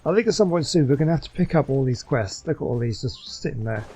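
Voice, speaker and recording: A man's voice: mid-range voice, expressive, fast, mellow, slightly rough, highs cut off. A man giving casual game commentary as a let's play host, musing and chatty in a hesitant way.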